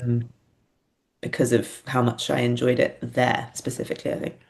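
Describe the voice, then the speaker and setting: A woman speaks as a podcast guest with a low voice and plenty of vocal fry. She is thoughtful and a little hesitant, reflecting in a self-effacing, conversational way. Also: monotone, normal speed, darkish tone. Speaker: podcast guest, playwright and performer.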